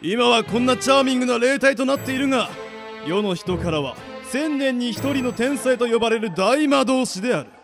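A ghostly archmage and proud father in an anime-style radio play. He speaks in a pompous, archaic lordly way. The pitch sits high for a man and moves dramatically, with music behind. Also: lively intonation, brisk. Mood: boastful. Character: grandiose, boastful ghostly wizard lord and doting father.

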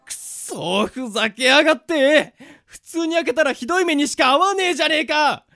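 A man playing a reckless, hot-headed young hero with brash, rough speech in a comedic fantasy radio drama. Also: very high-pitched, lively intonation, normal speed, bright, forceful tone, dry recording, clean studio sound, exaggerated voice. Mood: exasperated.

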